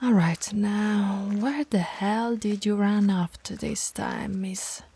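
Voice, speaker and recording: A woman's voice: mid-range voice, slow, mellow, husky, heavily acted. A woman voicing a stern, teasing alpha werewolf pack leader in an audio roleplay, authoritative and taunting. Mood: teasing, predatory.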